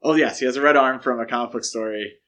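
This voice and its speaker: Male podcast host, average pitch, conversational and opinionated film chat. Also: fast, pushed and bright. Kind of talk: casual film discussion.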